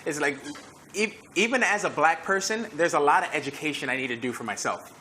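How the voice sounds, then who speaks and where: Earnest man with a bright tone. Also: fairly high pitch. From United States, born to Nigerian parents. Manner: earnest, emphatic, slows down for key points. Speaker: fitness trainer and host. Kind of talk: earnest conversational discussion. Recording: echoey room with faint ambient noise.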